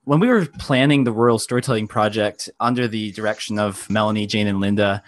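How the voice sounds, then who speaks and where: A man's voice: lowish voice, a little fast, dry recording, studio quality. A young man from Pennsylvania, a college student intern, talking casually with lots of hedging phrases. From Pennsylvania, United States. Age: young adult.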